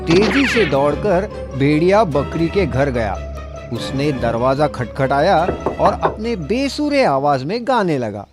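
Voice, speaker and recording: A man's voice: on the high side, big pitch swings, slow pace, loud music behind the voice. A man narrating a scripted children's story.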